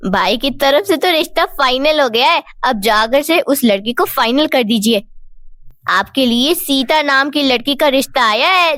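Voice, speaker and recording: A woman's voice: high-pitched, fairly bright tone, dry recording. A woman voicing a cheerful young daughter of the household in a cartoon story. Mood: eager.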